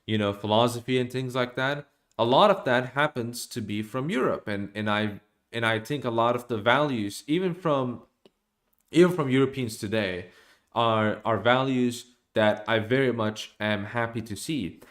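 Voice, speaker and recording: A man's voice: medium pitch, normal speed. Young man ranting at his webcam. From Norway. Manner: animated, hand gestures, frequent restarts and asides. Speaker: streamer. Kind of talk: opinionated monologue to camera. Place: small room at home, indoors.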